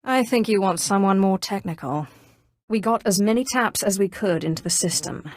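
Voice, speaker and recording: A woman playing an imperious, calculating Sith sorcerer in a video game, with a measured, haughty and coolly threatening delivery. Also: medium pitch, animated, no echo, clean studio sound, close to an everyday voice. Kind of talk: scripted game character dialogue.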